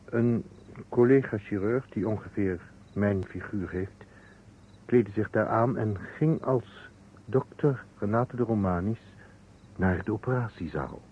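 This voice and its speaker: A male actor plays a weary surgeon in a vintage radio play. He speaks low, in short deliberate phrases. The recording is dull, with a faint layer of noise. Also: natural voice. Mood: grave, confessing. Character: weary male surgeon coolly confessing a false alibi. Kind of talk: old Dutch radio play acting.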